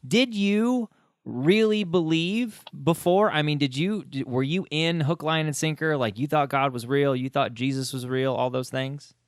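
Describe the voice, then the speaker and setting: A man's voice: a little high, measured pace, clear, resonant tone, dry recording, studio quality. A man hosting a conversational interview podcast, speaking informally with emphatic phrasing.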